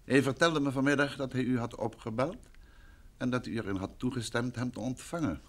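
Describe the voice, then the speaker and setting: A man's voice: mid-range voice, brisk, close to an everyday voice. An actor playing a composed, probing male detective in a Dutch radio drama. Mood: composed, inquiring. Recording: old radio play, background noise.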